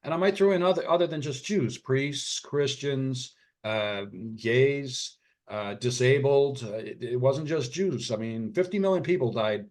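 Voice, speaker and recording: A man's voice: mid-range voice, measured pace, darkish tone. Earnest rambling man. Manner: earnest, rambling, many fillers and restarts. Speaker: author and physician guest. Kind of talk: podcast interview, casual storytelling.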